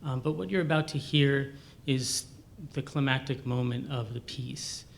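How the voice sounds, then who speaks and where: Hesitant man over noisy low rumble. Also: steady tone, measured pace, gravelly. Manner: hesitant, repeats words searchingly. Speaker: composer. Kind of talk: unscripted talk introducing a performance.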